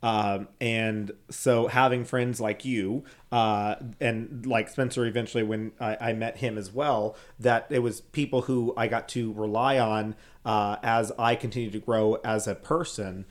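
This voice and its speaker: A man's voice: lowish voice, steady tone, a little slow, few pauses. A young man co-hosting a podcast, talking candidly and casually, often laughing and swearing. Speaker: podcast co-host. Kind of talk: casual chat.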